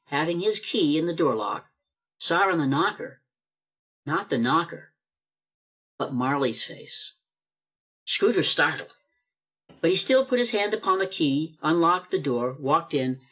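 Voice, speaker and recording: A man's voice: on the high side, fairly bright tone. A man narrating a church radio play over a video call, reading expressively and building suspense.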